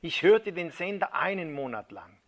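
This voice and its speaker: Male preacher, slightly higher pitch, crisp bright tone; dramatic storytelling read with whispered hushes. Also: very animated, moderate pace. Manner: dramatic reading, dropping to a hushed near-whisper at key moments. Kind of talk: sermon retelling a first-person testimony.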